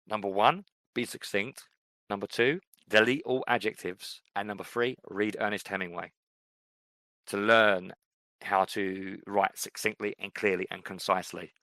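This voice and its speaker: Low-voiced guy rambling about books on a podcast, dry close sound. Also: steady tone, moderate pace, pushed and bright, dry recording, low-quality recording. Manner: thoughtful, rambling with fillers and restarts. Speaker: podcast co-host. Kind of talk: casual chat about books and fiction.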